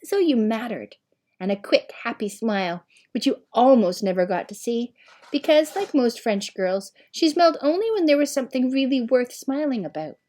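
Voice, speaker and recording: A woman reading a children's novel aloud as scripted narration, with an expressive, measured storytelling manner. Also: fairly high pitch. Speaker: audiobook reader.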